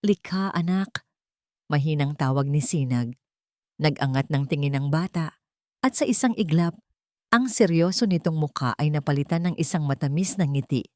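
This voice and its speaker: A woman's voice: low voice, moderate pace, dark tone, no echo, studio quality. A woman reading a scripted dramatic story as its narrator. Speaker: narrator of a Tagalog love story.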